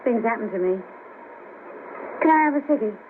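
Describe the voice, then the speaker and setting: A woman's voice: on the high side, lively intonation, fairly quick, phone-quality sound, natural voice. An actress playing a chirpy, bawdy, down-on-her-luck streetwalker of about thirty with a Liverpool accent, in a colloquial 1970s radio play. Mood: rueful, cheeky. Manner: colloquial, cheeky, earthy. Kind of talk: 1970s radio drama acting. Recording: patchy noisy cassette of 1970s radio broadcast.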